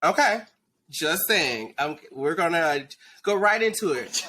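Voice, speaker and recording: A man's voice: a little high, expressive, measured pace, pushed and bright. A man in his thirties from Dallas hosting a casual interview show over a video call, teasing and gossipy in manner. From Dallas, Texas. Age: 30s. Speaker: podcast host.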